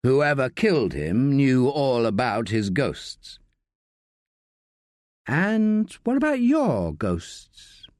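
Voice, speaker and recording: A man's voice: mid-range voice, wildly swooping pitch, extremely slow, darkish tone, no echo, studio quality, full character voice. A man voicing a playful, probing woman detective in a narrated story.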